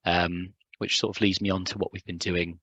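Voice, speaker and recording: Young guy, hoarse husky voice, very low, lots of ums, dry sound. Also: little pitch movement, moderate pace, a bit bright, dry recording. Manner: hesitant, many ums and restarts. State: hoarse, voice just recovering. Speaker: hydrogeologist presenting at a symposium. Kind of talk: conference talk with filler words.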